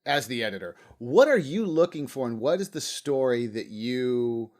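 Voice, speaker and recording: A man's voice: medium pitch, lively intonation, moderate pace. An American man hosting a casual interview, with informal phrasing that halts and restarts as he builds his questions. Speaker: podcast host, interviewer.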